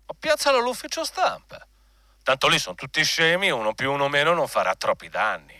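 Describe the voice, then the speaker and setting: An actor playing a jovial, conniving personnel director and old crony, jocular and wheedling, in a radio drama. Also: male voice, fairly high pitch, big pitch swings, fairly quick, bright, forceful tone, no echo. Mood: wheedling, pushy.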